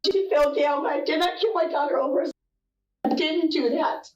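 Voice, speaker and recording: A woman's voice: high voice, moderate pace, a little mellow, muffled recording. A mother being questioned by police, answering with defensive, repeated denials. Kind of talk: police interrogation. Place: police interview room. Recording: echoey room, voice from far off.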